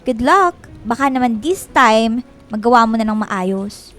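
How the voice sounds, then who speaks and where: High-voiced haughty woman supervisor. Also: animated, a little slow, a bit bright, faint music behind. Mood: haughty, mocking. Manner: curt, condescending. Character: haughty, sarcastic woman supervisor dumping extra work. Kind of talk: radio drama acting.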